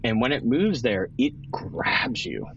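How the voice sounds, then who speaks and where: A man narrating a tabletop roleplaying game as game master, descriptive and pausing for dramatic effect. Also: mid-range voice, very animated, creaky, lo-fi sound, slight background noise. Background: music bed.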